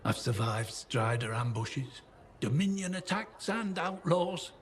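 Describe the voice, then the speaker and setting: An actor playing a weathered, boastful yet haunted old survivor in a video game, with a folksy, plain-spoken manner. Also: male voice, a little high, animated, a little slow. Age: older. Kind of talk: video game dialogue acting. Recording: clear ambient noise behind the voice.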